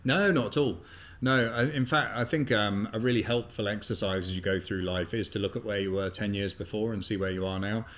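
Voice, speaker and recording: A man in his fifties, a guest in a casual interview, talking in a halting way with many fillers. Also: fairly low pitch, steady tone, fairly bright tone. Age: 50s. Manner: hesitant, heavy on fillers. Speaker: guest, business buyer.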